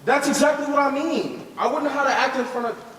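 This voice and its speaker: A young man acting a rough-edged, insecure drifter in a high school stage play. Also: fast, fairly bright tone. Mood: uneasy. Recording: echoey hall, distant mic, faint noise.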